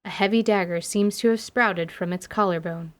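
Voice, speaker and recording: A woman reading scripted narration for a fantasy audio drama in a measured storyteller's manner. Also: medium pitch.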